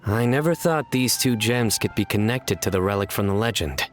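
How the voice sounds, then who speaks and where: A man acts out a composed, serious adventurer from a video game. The voice sits on the low side and is measured and earnest. Music plays underneath. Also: lively intonation, close to an everyday voice. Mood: thoughtful.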